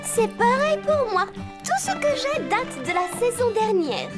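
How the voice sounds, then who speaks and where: A woman's voice: very high voice, very animated, a little slow, loud music behind the voice, exaggerated voice. A woman voicing a spoiled, vain teenage rich girl in a cartoon dub, haughty and dramatic. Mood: vain, snooty. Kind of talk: French cartoon dubbing.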